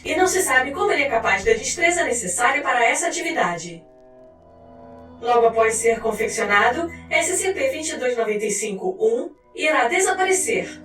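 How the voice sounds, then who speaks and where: A high-pitched female narrator with a Brazilian accent delivers a formal, clinical document reading. Her voice carries a clear room echo and sits a little way from the mic, over music. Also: fairly bright tone. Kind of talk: scripted narration of a fictional scientific file. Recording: roomy echo, distant mic, faint music.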